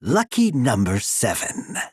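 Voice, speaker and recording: A cruel older mad scientist from a video game, sinister and theatrical. He draws out his mocking words slowly, with pitch that leaps and dives dramatically. The voice rings in an echoey, reverberant space, full of condescension and glee. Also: huge pitch swings, a little mellow. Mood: mocking curiosity. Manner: condescending, drawn-out, mocking articulation. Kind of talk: video game villain acting.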